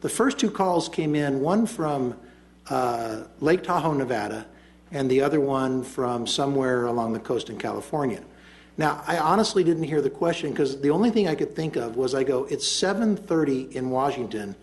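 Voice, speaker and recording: A man's voice: medium pitch, lively intonation, normal speed. A man serving as mayor, chairing a council meeting in a joking, rambling way with many fillers. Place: council chamber.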